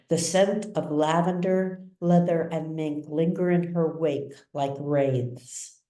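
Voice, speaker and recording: A woman author reading her novel as dramatic literary narration, with measured, deliberate phrasing. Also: low voice, dark tone. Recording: roomy echo, distant mic.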